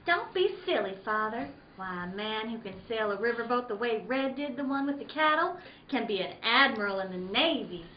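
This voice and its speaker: A woman acts a rancher's daughter full of admiration in a radio western. Her fairly high, lilting voice comes through an echoey room. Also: animated, moderate pace, natural voice. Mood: admiring. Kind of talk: 1940s radio western acting. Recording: muffled, echoey room, faint noise.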